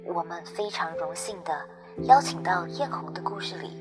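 A woman's voice: fairly low pitch, expressive, normal speed. A woman reading a scripted podcast introduction as its presenter. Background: prominent music.

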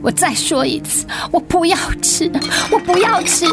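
An actress playing an unhappy, irritable grown daughter, weary and bitter, in a radio drama. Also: female voice, very high voice, expressive, normal speed. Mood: angry, exasperated. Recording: music behind the voice.

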